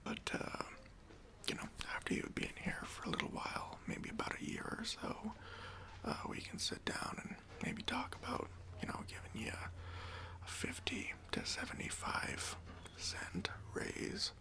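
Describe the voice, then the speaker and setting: A man playing a stingy, demanding boss in an ASMR roleplay, speaking in a breathy, hesitant whisper with condescending politeness, close to the microphone. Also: sits high, wildly swooping pitch, a little slow, pushed and bright, full character voice. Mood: smug, officious. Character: stingy, demanding male boss giving a job interview.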